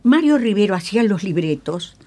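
An older woman with a Uruguayan Spanish accent, reminiscing in a radio interview as an emphatic, halting storyteller who repeats phrases and checks in with tag questions. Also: medium pitch, very animated, darkish tone. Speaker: guest, veteran radio actress. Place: radio studio.

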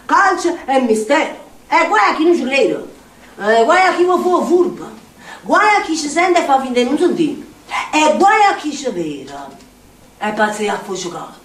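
An actress playing a volatile, superstitious backstreet storyteller in a theatrical monologue, with a Neapolitan accent and an incantatory, repetitive delivery. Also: female voice, high-pitched, sing-song, moderate pace. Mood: solemn warning. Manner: theatrical, incantatory, repeating phrases for emphasis. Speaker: stage actress. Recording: roomy stage recording, distant, faint noise.

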